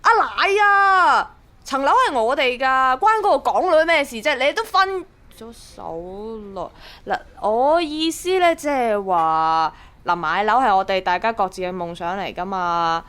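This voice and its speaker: A woman's voice: on the high side, lively intonation, a little slow, bright, forceful tone, clear, resonant tone. An actress playing a pushy, scheming young woman with a Hong Kong accent, nagging emphatically in a radio drama. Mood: coaxing. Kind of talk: Cantonese radio drama acting.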